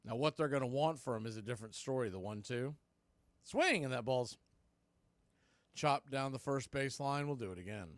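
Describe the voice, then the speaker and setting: A man's voice: medium pitch, normal speed, no room sound. A man doing live radio baseball play-by-play, with punchy clipped calls and conversational asides.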